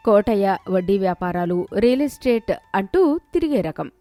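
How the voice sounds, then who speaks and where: A woman's voice: mid-range voice, animated, moderate pace, warm tone, faint music behind. A woman reading scripted narration for a moral story as its storyteller.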